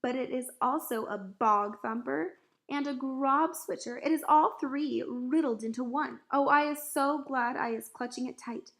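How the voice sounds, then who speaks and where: A woman voicing a big, excitable but kindly male giant in a storybook, dramatic and exclamatory, with quaint mangled grammar. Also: high voice, measured pace, vocal fry, voice pushed up, heavily acted. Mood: gloating indignation.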